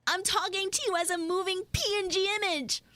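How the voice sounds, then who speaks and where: A woman's voice: very high voice, fairly even intonation, a little fast, bright, forceful tone, dry recording. A woman chatting in character on a livestream as a flustered tomboy tsundere schoolgirl, with dramatic, whiny exasperation. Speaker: VTuber livestreamer doing audio roleplay. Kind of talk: improvised in-character livestream chat.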